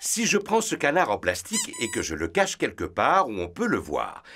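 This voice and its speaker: A man's voice: medium pitch, wildly swooping pitch, moderate pace, bright, forceful tone, soft background music, exaggerated voice. A man voicing a jovial, playful daddy pig in a cartoon, hearty and a little pompous as he explains. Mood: jovial, explaining. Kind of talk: cartoon dub acting.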